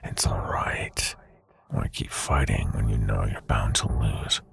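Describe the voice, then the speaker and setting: A sinister, seductive prince of nightmares murmurs in an extremely deep voice, heavily fried and gravelly. His delivery is slow, breathy and silky, with menacing command. It is an intimate ASMR roleplay performance. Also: a bit bright, full character voice. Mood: hypnotic, coaxing. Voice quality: breathy, gravelly half-whisper.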